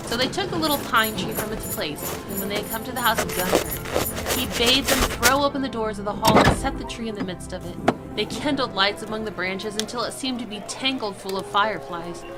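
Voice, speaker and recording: A woman's voice: medium pitch, fairly quick, loud music behind the voice, rough recording. A woman narrating a classic story in a dramatic storybook style.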